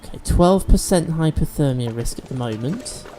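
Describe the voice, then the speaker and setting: Gaming host, male, mellow tone, sniffly, chatty walkthrough delivery. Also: a little high, lively intonation, moderate pace, faint music behind. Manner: chatty, explains game mechanics as he plays. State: sniffly from allergies. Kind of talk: let's-play commentary.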